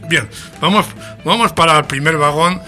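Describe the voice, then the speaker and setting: A man's voice: on the high side, normal speed, bright, forceful tone. A man with an accent from Spain hosting a show in a chatty, jocular, theatrical way, full of exclamations. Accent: Spanish from Spain. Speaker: radio show host. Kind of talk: chatty, playful show presentation. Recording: music playing behind the voice. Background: music.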